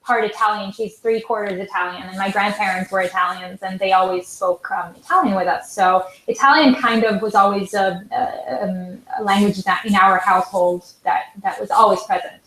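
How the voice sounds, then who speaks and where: A woman's voice: medium pitch, fairly even intonation, moderate pace, hardly any pauses, fairly bright tone. Woman with a Swiss German accent, hesitant and full of fillers, echoey room on a video call. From Switzerland. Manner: hesitant, many fillers and restarts. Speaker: guest pianist. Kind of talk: live online interview.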